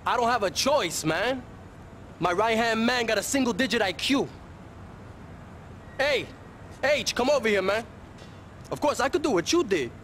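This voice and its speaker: A young male street dope dealer from a video game, speaks in a high-pitched voice. He is envious, sarcastic and foul-mouthed, full of streetwise slang. Faint traffic noise sits behind him. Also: expressive, moderate pace, long pauses, fairly bright tone. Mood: sarcastic, bitter. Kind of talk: video game cutscene acting.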